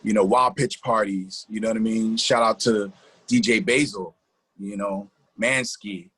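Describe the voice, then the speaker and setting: Low, husky New man reminiscing. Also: steady tone, unhurried. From New Jersey. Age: middle-aged. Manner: colloquial, repeats phrases, gives shout-outs. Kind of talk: casual reminiscing.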